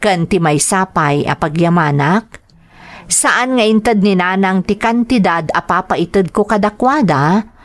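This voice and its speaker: A woman's voice: medium pitch, expressive, measured pace, no echo. A woman narrating a scripted first-person drama story in an earnest, reflective manner.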